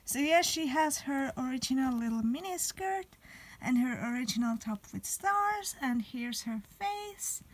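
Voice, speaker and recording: A woman's voice: sits high, lively intonation, measured pace, rough recording. A young woman chatting casually to camera about a doll she got, rambling and loose. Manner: chatty, rambling with fillers and restarts. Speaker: vlogger. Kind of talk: casual doll show-and-tell.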